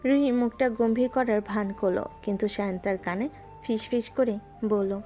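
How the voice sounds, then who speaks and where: A woman narrates a love story in a gentle, scripted storytelling style. Her voice sits a little high. Soft music plays behind her. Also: moderate pace. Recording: music bed underneath.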